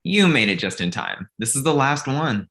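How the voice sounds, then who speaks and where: Man as bright, animated, petulant grown son. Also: mid-range voice, normal speed. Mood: eager, cocky. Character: cocky, needy grown son, amateur film buff, living with parents. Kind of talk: comedy script table read.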